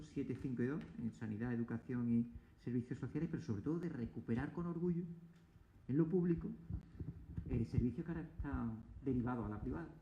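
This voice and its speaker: A man's voice: mid-range voice, dark tone, reverberant, lo-fi sound. A man talking politics as a guest, emphatic, piling up points and restarting words. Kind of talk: argumentative political talk. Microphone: table mic, asked to move it closer.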